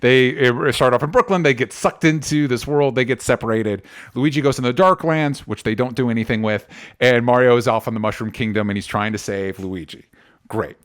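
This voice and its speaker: A man's voice: medium pitch, brisk. A man with a mid-range voice talks through movie opinions as a podcast co-host. He is argumentative and exasperated, chatting casually and tossing in the odd swear word.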